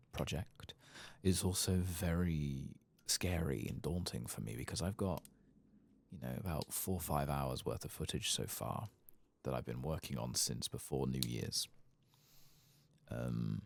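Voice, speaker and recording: Man, very low gravelly voice, soft ASMR game commentary, unhurried, close dry mic. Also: no echo. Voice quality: soft, low-volume voice, sometimes dropping to a whisper. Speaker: gaming ASMR channel host. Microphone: close, dry microphone.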